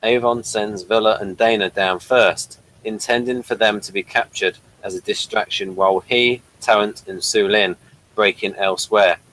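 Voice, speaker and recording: A man's voice: on the low side, fairly even intonation, normal speed, fairly bright tone, rough recording. A man with a slight speech impediment, hosting a casual review chat, reading a synopsis with hesitant fillers and self-corrections. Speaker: podcast host reading the synopsis.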